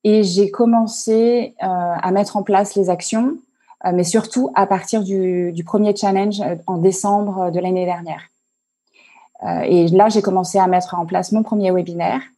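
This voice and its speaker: Woman, husky, mellow dark tone, hesitant, many fillers, video call interview. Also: mid-range voice, fairly even intonation. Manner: halting, many fillers and restarts. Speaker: online coach and entrepreneur.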